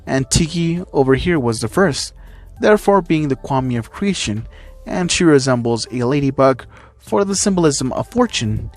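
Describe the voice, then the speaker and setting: A man's voice: mid-range voice, animated, moderate pace, a little mellow, faint music behind, close to an everyday voice. A man voicing a secretive, weary young woman hero in a narrated story. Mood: resigned explaining.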